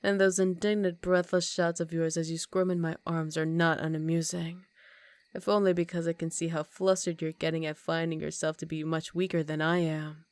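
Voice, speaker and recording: Low, teasing vampire countess acted by a woman. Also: level tone, no room sound, lightly acted. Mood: amused, possessive. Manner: slow, teasing, condescending, with breathy half-whispers. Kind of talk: ASMR roleplay.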